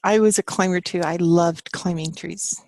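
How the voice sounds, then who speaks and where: Gravelly-voiced woman teaching an online class. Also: lowish voice, steady tone, normal speed, darkish tone, dry recording. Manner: chatty, encouraging, reads student answers aloud. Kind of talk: live online class, chatting with students. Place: home office. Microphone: computer mic on a video call.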